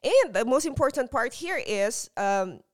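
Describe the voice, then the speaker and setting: A woman hosting a podcast interview in a chatty, excitable, rambling way. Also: on the high side, a little slow, no echo, clean studio sound. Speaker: podcast host.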